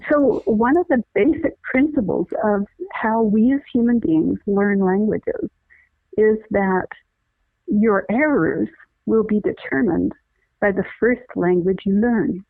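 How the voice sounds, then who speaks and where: A woman's voice: mid-range voice, gravelly, no echo. Earnest woman explaining over a tinny phone line. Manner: earnest, many restarts, deliberate explaining. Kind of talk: conversational interview, explaining.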